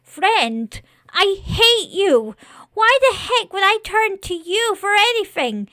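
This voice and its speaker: A woman acts out a naive living scarecrow from a horror story. The voice is high and earnest, full of raw pleading emotion. It sounds close and dry. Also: unhurried, a bit bright, no room sound. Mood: furious, betrayed. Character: naive, remorseful living scarecrow creature, desperately attached.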